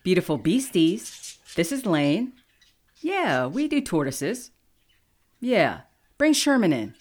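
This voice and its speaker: A woman's voice: lowish voice, sing-song, slow pace, exaggerated voice. A woman playing a bold, playful, outgoing best friend with a bossy, teasing, youthful way in an audio drama. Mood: playful, upbeat.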